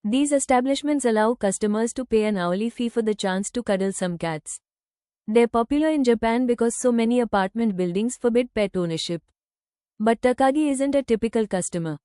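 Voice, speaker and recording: Woman, higher-pitched voice, smooth, dry close sound, scripted read-aloud, measured phrasing. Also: lively intonation, mellow, no room sound. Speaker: reader of practice passages.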